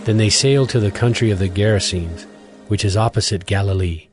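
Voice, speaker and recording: A man narrating a dramatized scripture reading in a steady, even storytelling voice. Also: on the low side, a little fast. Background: music.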